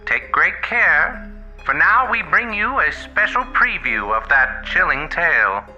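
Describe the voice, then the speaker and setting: An actor playing an ominous, dramatic announcer in the style of old-time radio horror. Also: male voice, a little high, big pitch swings, a little slow, pushed and bright, soft background music, heavily acted. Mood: ominous, urgent. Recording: dull, lo-fi old radio sound.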